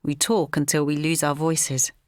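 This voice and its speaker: Alice, an earnest, steady mum voiced by an actress, slightly low voice, dry close mic. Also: female voice, normal speed, no echo, close to an everyday voice. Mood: determined. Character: Alice, determined mother and campaigner. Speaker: narrator and lead character. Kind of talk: audio drama narration.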